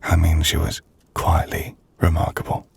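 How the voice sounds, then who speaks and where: A man playing a melancholy, gentle half-vampire nobleman in an intimate ASMR roleplay, speaking in a hushed, breathy, formal and reflective manner. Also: completely flat delivery, dry recording, exaggerated voice. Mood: fond, wistful. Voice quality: low, gravelly, breathy. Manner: hushed, breathy, slow reflective delivery with formal, measured phrasing. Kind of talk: ASMR audio roleplay, intimate dramatic monologue.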